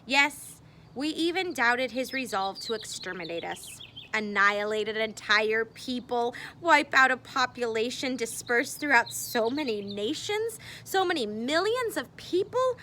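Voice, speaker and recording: A woman reading a memoir aloud expressively, with deliberate phrasing and dramatic emphasis. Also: a little high, expressive, pushed and bright, a little hiss or hum. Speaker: reader.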